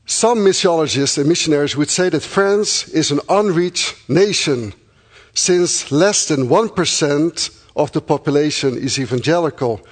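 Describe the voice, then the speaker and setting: A middle-aged Dutch-accented man speaks to a supporting congregation in an earnest, grateful way, pausing now and then with fillers. His voice sits a little higher than most men's. He talks as a missionary. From Netherlands. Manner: earnest and thankful, with pauses and fillers. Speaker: missionary church planter.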